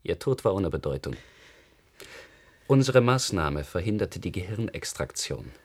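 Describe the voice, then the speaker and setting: An actor playing a cold, threatening leader in a German radio drama, speaking in terse, unemotional commands. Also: male voice, low voice, big pitch swings, unhurried, breathy edge, full character voice. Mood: cold, imperious. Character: cold, threatening male leader of a powerful faction.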